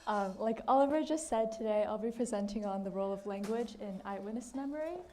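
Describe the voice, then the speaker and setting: Mellow-voiced young woman presenting. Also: mid-range voice. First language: Chinese. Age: teens. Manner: earnest, slightly halting with fillers. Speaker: student presenter. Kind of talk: student research presentation.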